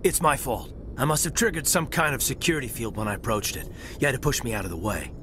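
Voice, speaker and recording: A man's voice: medium pitch, big pitch swings, fast, natural voice. An actor playing an earnest young male marine officer in a video game cutscene. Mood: apologetic. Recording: clear engine noise behind.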